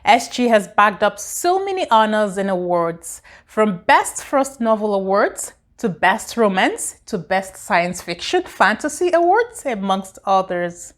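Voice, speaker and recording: A woman's voice: medium pitch, sing-song, a little slow, hardly any pauses. A woman co-host reading a scripted, formal guest introduction and questions. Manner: read-out, formal.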